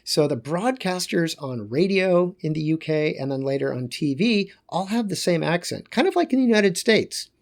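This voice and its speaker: A man lecturing on geography in a loose, conversational way, pausing and correcting himself as he goes. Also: a little high, lively intonation, gravelly.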